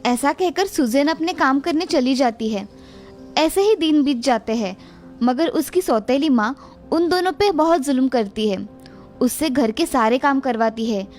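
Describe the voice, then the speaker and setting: A woman narrating an animated children's story in a steady, clear storytelling manner. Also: sits high.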